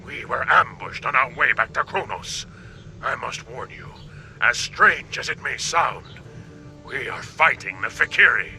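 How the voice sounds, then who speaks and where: An actor playing a proud, battle-hungry Klingon emperor in a video game, with a booming, theatrical, gravelly delivery. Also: male voice, animated, unhurried, bright, forceful tone, faint music behind, heavily acted. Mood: hearty, grave.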